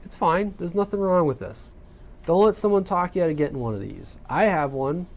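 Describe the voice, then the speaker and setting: A man's voice: high voice, lively intonation, brisk. A man giving an unscripted, casual hobby radio tutorial in a plain-spoken, colloquial way, often checking in with the listener. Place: indoors at a home workbench.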